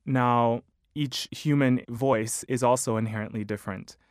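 A man in his thirties, a choral conductor with a high baritone voice, giving measured, thoughtful interview answers. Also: steady tone, clean tone, no echo, studio quality. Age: 30s. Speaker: choral conductor and music director.

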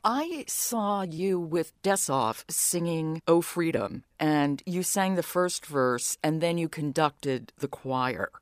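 Low-voiced, lively woman interviewer. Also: slow, few pauses, no echo. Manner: curious, conversational. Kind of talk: interview questions.

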